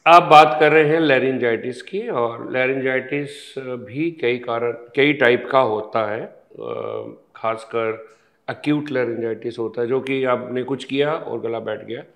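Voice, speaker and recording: A man explains a medical topic in a calm, unhurried interview. He talks very slowly, setting out each point patiently with small pauses. The overall effect is of a doctor walking a lay audience through the facts. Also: mid-range voice, gravelly. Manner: slow, measured, explaining step by step with pauses. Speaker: ENT surgeon guest.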